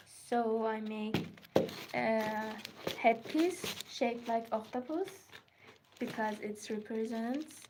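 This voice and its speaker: A woman from Iran with a Persian accent, chatting in halting, simple English while hosting a crafting livestream from her studio. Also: on the high side, background noise. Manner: halting, simple non-native phrasing, repeats words. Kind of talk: casual livestream chat.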